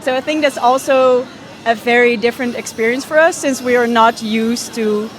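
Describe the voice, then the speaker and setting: A woman's voice: a little high, normal speed, slight background noise. A young woman from the Netherlands, a tourist, chatting in an upbeat way in a street interview. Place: busy city street outdoors. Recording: crowded street background.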